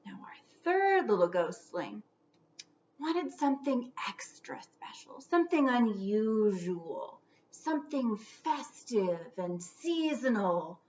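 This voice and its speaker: A woman reading a children's storybook aloud theatrically, stretching out words and dropping into hushed whispers for suspense. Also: a little high, sing-song, takes it slowly, dull audio.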